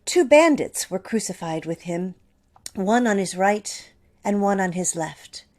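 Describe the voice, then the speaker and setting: Woman reading scripture, even and deliberate. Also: mid-range voice. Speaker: scripture reader. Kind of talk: dramatic Bible reading in church.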